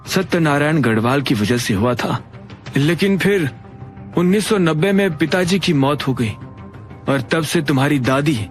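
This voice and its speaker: A man voicing a grave, earnest uncle in an audio drama, explaining in a deliberate way. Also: a little high. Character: older uncle, measured, grave family patriarch confiding secrets.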